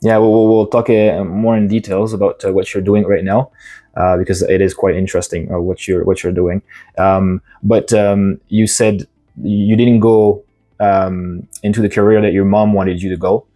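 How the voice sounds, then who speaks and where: Male host, low flat voice, halting questions, faint music behind. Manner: halting, rephrases questions, many uhs. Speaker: interviewer. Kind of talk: interview questions.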